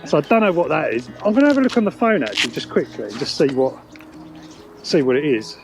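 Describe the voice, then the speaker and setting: A man's voice: a little high, big pitch swings, quick pace, background noise. A British man talking casually and unscripted outdoors as he tests some gear on a wireless headset. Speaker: vlogger testing a wireless intercom. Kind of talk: casual unscripted chat while testing gear. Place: outdoors by a riverside path. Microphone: wireless intercom headset. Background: music.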